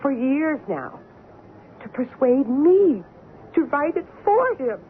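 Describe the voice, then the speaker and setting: An actress playing a haunted, intense woman convinced of contact with spirits, with deliberate dramatic phrasing in old-time radio style. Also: high voice, big pitch swings, full character voice. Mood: intense revelation. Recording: noisy, narrow-band old radio recording.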